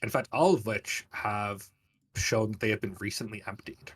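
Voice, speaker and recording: A man's voice: on the low side, moderate pace, slightly rough, distant mic. A man narrating a tabletop fantasy game as game master, improvising in a hesitant, conversational way.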